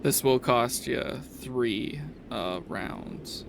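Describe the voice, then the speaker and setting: A man improvising narration as game master of a tabletop game, halting with pauses mid-sentence. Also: medium pitch, background noise.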